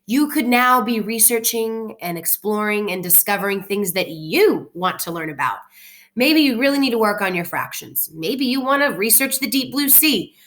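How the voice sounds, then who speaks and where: A woman with a medium-pitched, very lively voice speaks like an elementary teacher at story time. She is encouraging and expressive, keeping her words simple for young listeners. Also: normal speed. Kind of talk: children's read-aloud with casual talk to families.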